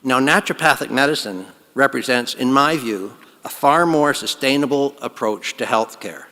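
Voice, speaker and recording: A man giving a formal, earnest convocation address at a podium, his phrases trailing off softly. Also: medium pitch, normal speed, long reverb tail. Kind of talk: formal ceremonial speech. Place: large hall.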